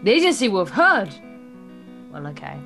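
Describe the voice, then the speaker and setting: A woman's voice: medium pitch, big pitch swings, moderate pace, gravelly, a bit bright, soft background music. A woman reading a visual novel aloud for a gameplay video, in a playful, theatrical way with crude joking asides.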